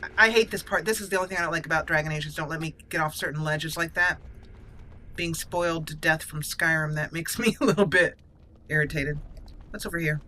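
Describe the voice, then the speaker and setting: A woman's voice: low-pitched, fairly even intonation, brisk, sharp tone, slight background noise. A woman giving casual roleplay commentary as she plays a game, talking to her character and musing in role. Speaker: gaming channel host. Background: video game sounds.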